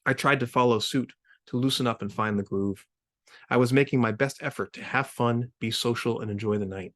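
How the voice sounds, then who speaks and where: A man's voice: medium pitch, fairly quick, a bit bright. Male author, mid-range voice, for a literary reading of his own story. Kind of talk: informal spoken introduction, then literary reading. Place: remote video reading series.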